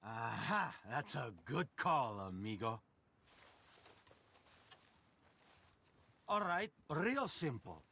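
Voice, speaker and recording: An actor playing a boastful roguish gambler in a western video game, with a cocky, drawling, theatrical delivery. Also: male voice, medium pitch, big pitch swings, takes it slowly, bright, forceful tone, heavily acted. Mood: smooth, confident. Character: boastful roguish male gambler challenging strangers to shooting contests.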